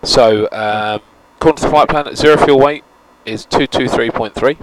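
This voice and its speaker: A man's voice: medium pitch, moderate pace, background noise. A young British man flying a home cockpit simulator, chatting casually and reading out flight figures on a headset. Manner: relaxed, chatty, reads out figures. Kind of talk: casual cockpit chat and radio calls.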